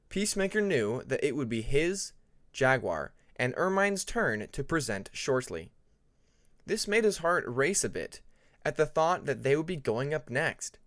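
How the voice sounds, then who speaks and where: A man narrating a scripted fantasy story as an audiobook reader, with deliberate, dramatic phrasing. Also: a little high, no echo, clean studio sound. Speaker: audiobook narrator of fan fiction.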